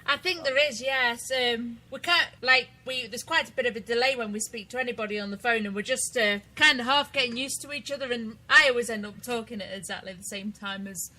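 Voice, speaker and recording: A woman's voice: a little high, a little fast, hardly any pauses, bright, forceful tone, rough recording. A woman with a British accent co-hosting, chatting casually and laughing often. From Britain. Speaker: co-host. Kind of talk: casual chat.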